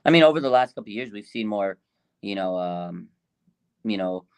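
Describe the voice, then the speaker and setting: A man's voice: lowish voice, lively intonation, moderate pace, smooth. A man co-hosting a sports fan show, chatting informally and agreeably, repeating himself for emphasis. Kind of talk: casual sports fan banter.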